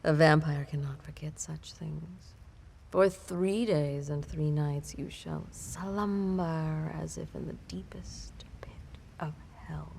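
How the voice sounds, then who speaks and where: A woman playing an ancient, imperious vampire mistress in an intimate audio roleplay, predatory and seductively menacing, with theatrical, breathy half-whispered delivery and drawn-out words. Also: sits low, dark tone, slight background noise, exaggerated voice. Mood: darkly hungry.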